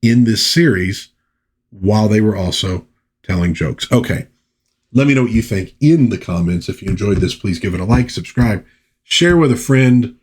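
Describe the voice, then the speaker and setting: A man hosting a casual review, talking in a halting, musing way with pauses and repeated phrases. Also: on the low side, animated, a little mellow, breathy edge. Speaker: host of a comic book review series.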